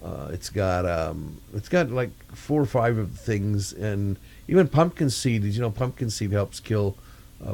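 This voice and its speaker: A man's voice: on the low side, a little mellow. An older man talking casually in a meandering video diary, often searching for words. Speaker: vlogger. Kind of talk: casual rambling vlog talk.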